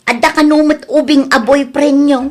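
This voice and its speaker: An actress with an Ilocano Filipino accent playing a concerned, scolding adult daughter in an audio drama, earnest and admonishing. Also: female voice, sits high, steady tone, moderate pace, lightly acted.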